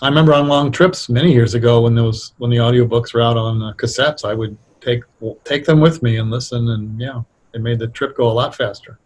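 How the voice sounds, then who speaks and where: A mature man with a somewhat husky, low-clarity voice and a middling pitch. He speaks as a guest in a casual interview, thinking his way through explanations with reflective hesitation. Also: steady tone, brisk, darkish tone. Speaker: guest, radio drama writer.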